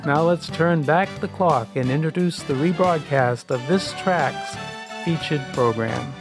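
A man's voice: on the high side, unhurried. A man hosting a radio program, reading a scripted introduction. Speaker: program host. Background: music.

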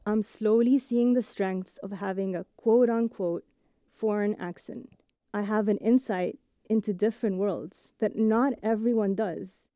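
A woman in her thirties from Egypt, with an accent blending British and American English with Egyptian and Italian touches, reading a measured, reflective first-person radio essay. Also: medium pitch, warm tone, dry recording, clean studio sound. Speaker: audio journalist.

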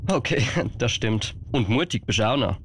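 A man's voice: medium pitch, lively intonation, normal speed, a bit bright, a little hiss or hum, natural voice. A man with a Swiss German accent playing a kindly, flustered old Santa Claus in an amateur radio play. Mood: doubtful, touched.

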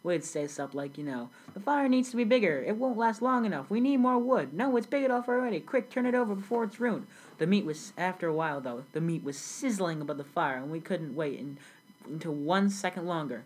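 A teenage girl plays a grown immigrant looking back on a village childhood, speaking low for her age with a husky, breathy edge. The telling is earnest and steady, read from a script. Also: fairly quick, low-quality recording. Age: young teen. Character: grown immigrant recalling a South Sudan childhood. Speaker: student playing the interviewee. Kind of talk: school project role-play interview.

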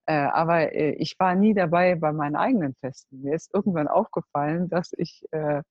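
A woman in her forties from Germany telling her life story as a guest, earnest and halting, often searching for words. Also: low voice, no echo. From Germany (Cologne). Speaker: entrepreneur, guest. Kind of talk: personal life story in a group talk. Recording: dull, dry close recording.

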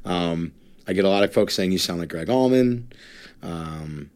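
A man's voice: low voice, expressive, measured pace, darkish tone, clear, resonant tone. A man hosting a podcast, talking casually and rambling with many fillers. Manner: rambling, heavy on fillers like "you know". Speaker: podcast host.

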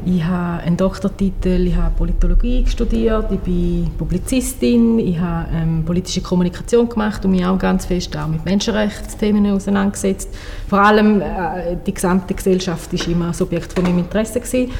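A middle-aged woman introduces herself in a plain, matter-of-fact way. Her voice is mellow and dark, and faint background noise sits under it. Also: lowish voice, brisk, vocal fry, hardly any pauses. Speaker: guest, cantonal politician. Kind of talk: casual panel introduction.

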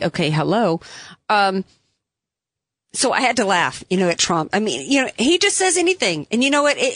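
Woman talk radio host, opinionated, emphatic, slightly hoarse, dry close studio sound. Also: medium pitch, fairly bright tone, no echo. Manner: opinionated, emphatic, sarcastic asides. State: losing her voice, has a cough drop in. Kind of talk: political talk radio monologue.

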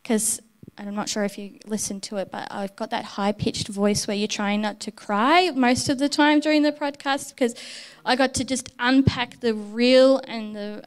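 A woman with a fairly high, smooth, clear voice preaches a sermon. She speaks candidly and warmly, weaving a personal story into her message from the front of a church.

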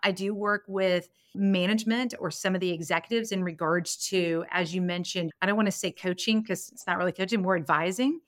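A woman's voice: lowish voice, fairly even intonation, brisk, few pauses, no room sound, studio quality. A woman of about fifty giving candid, motivational answers as a guest in a conversational interview. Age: 49, turning fifty next month. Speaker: podcast guest, business consultant and strategic planner.

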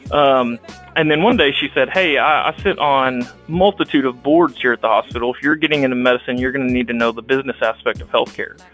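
A young man from Texas speaks with a Texan accent, his voice bright and forceful. He talks as an interview guest, halting often with restarts and ums, and faint music plays behind him. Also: mid-range voice, rough recording. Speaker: guest, rural health clinic director. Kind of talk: casual interview, telling his life story.

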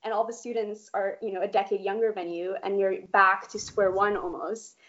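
A woman in her thirties, born in Poland, telling her career story in an interview in a chatty, lively way with casual swearing and frequent laughs. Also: medium pitch, moderate pace, dull audio. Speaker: guest animator.

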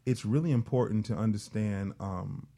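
A man's voice: on the low side, moderate pace, mellow. A man speaking as a panel guest, explaining in business jargon in a conversational discussion. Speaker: panel guest advising on nonprofit management.

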